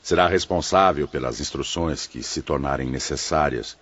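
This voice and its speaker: A man acting a dignified spirit superior in an audiobook drama, speaking in a formal, measured, authoritative way. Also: a bass voice, fairly bright tone, highs cut off. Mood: composed authority.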